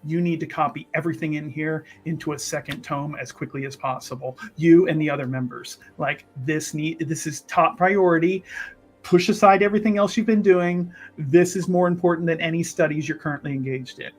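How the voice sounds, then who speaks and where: A man's voice: on the high side, a little fast, natural voice. A man playing a pushy, foul-mouthed, money-hungry male leader in a tabletop game, speaking urgently and insistently. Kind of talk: improvised tabletop roleplay.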